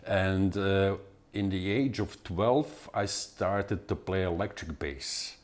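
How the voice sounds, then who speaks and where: A man in his forties from Serbia with a Serbian accent, answering interview questions in halting speech full of fillers and restarts. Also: low voice. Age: 40s. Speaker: guest musician.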